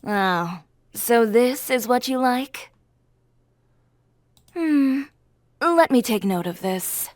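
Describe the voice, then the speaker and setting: An actress playing an aloof, judgmental craft expert in a video game, cool and critical. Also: female voice, on the high side, animated, drawn-out words, pauses often, no echo, studio quality. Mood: unimpressed. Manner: cool, critical, sighing superiority. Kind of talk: video game character voice acting.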